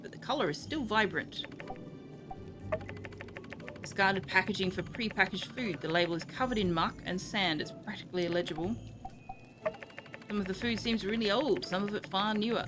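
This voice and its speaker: An Australian woman streaming a game, reading item text aloud and chatting in a dry, sarcastic way. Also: medium pitch. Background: game music.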